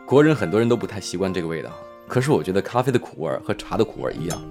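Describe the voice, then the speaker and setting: A man playing a gentle, modest, considerate actor in an audio drama, polite and understated. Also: sits low, sing-song, rapid-fire, music in the background.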